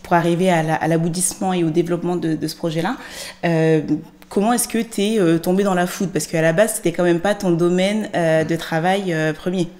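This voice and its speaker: A woman's voice: on the low side, steady tone, a little fast, darkish tone. A young woman interviewing a podcast host, asking curious questions with frequent hesitations, into a studio microphone. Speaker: guest interviewer. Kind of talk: conversational interview. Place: studio set with plants and glass-block wall. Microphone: studio mic on stand.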